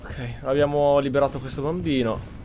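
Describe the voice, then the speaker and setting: A man from Italy with a medium-pitched voice and very quick speech. He hosts a gameplay session, commenting and roleplaying as he goes. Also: steady tone, warm tone, soft background music. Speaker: gamer, channel host.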